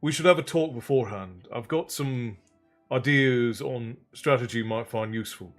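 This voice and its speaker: A man's voice: mid-range voice, normal speed, soft background music, lightly acted. A man voicing a cocky, hulking teenage athlete who is pushy and pleased with himself, in a storybook reading. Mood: smug, pushy. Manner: self-satisfied, presumptuous.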